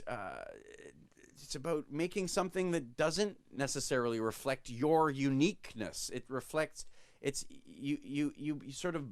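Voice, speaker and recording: A man's voice: a little high, clean tone, dry recording. A man in his forties from Quebec, a musician guest giving long, reflective answers that ramble and correct themselves mid-thought on a video call. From Quebec, Canada. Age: 40s. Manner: rambling, self-correcting, halting.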